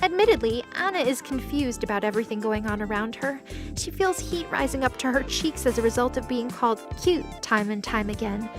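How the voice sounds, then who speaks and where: A woman reading scripted third-person narration for an audio drama. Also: fairly high pitch. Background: music under the voice.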